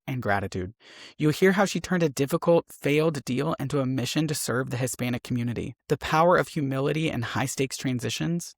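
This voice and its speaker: A man's voice: mid-range voice, no echo, clean studio sound. A man hosting a podcast, reading a scripted introduction and then chatting enthusiastically with his guest. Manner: upbeat, enthusiastic interviewer. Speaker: podcast host.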